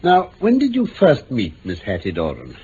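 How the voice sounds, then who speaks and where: English gentleman sleuth, lively swooping intonation, formal, probing, dryly ironic, old radio drama, muffled sound. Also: medium pitch, moderate pace, slight background noise. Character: shrewd, courteous, coolly authoritative gentleman detective. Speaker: actor in the lead detective role. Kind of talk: 1950s-60s BBC radio drama acting.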